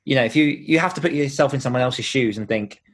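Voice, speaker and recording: A man's voice: mid-range voice, fast talker. A British man talking in an informal, explanatory way as the guest in a conversational podcast interview.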